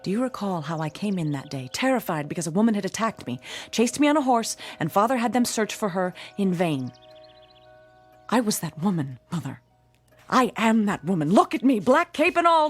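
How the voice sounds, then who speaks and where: An actress playing a bitter, haunted, hard-drinking woman in her forties in a radio drama, theatrical and sardonic with a husky voice. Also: medium pitch, natural voice. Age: 40s. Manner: theatrical, sardonic, self-pitying. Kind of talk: old-time radio drama acting. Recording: radio drama with music underneath.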